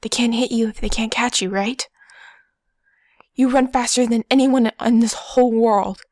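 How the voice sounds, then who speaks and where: A woman's voice: a little high, fairly even intonation, moderate pace, a little mellow, no echo, clean studio sound, natural voice. A woman playing an earnest young boy who presses his friend, in an audio drama. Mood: encouraging.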